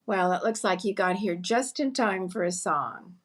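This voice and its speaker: A woman playing a plain-spoken friend out shopping, quick to argue, in an old-time radio comedy. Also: medium pitch, close to an everyday voice. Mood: explaining.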